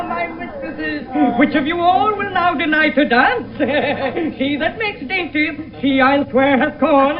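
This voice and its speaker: Merry older lord: very high, slow, teasing declamation over a loud crowd. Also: male voice. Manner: hearty, chuckling, declaimed. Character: jovial older lord hosting a feast, teasing guests. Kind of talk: 1970s radio Shakespeare acting. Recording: muffled, distant, loud party crowd behind.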